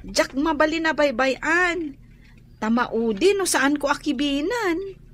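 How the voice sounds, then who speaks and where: A high, youthful voice from a woman plays a devoted Ilocano schoolgirl. The delivery is caring radio-drama acting, with ambient noise behind it. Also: expressive, slow, a bit bright, exaggerated voice. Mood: tender, protective. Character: devoted caring schoolgirl guiding her blind sibling.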